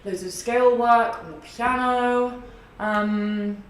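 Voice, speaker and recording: A young woman with a husky, low voice, chatting as a podcast guest and rambling through stories with frequent laughs. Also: fairly even intonation, slow. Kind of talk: casual interview chat. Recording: echoey room, distant mic, faint noise.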